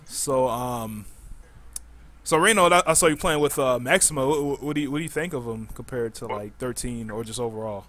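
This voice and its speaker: Man casually hosting a podcast, medium pitch. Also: moderate pace, a bit bright. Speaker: podcast host.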